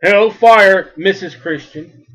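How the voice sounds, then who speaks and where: A man preaching a sermon as a street preacher, with a big loud voice and emphatic delivery that swells in volume. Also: sits high, slow pace, low-quality recording.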